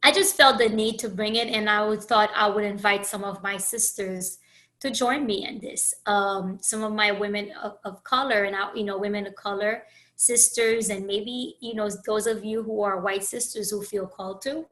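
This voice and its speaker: Brief: woman from Haiti, podcast host, bright clear voice, earnest and searching, restarts often. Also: medium pitch, steady tone, normal speed. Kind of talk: reflective solo podcast monologue.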